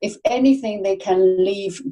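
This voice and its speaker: A woman, a guest on a podcast, speaking reflectively with many pauses and fillers. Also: mid-range voice, dark tone, rough recording. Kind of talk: casual interview conversation.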